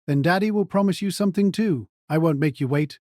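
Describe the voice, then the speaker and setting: A man playing a tender father who talks gently and reassuringly to his young child in an audio drama. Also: on the high side, brisk, no room sound, studio quality, natural voice. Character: tender, burdened father talking to his little daughter.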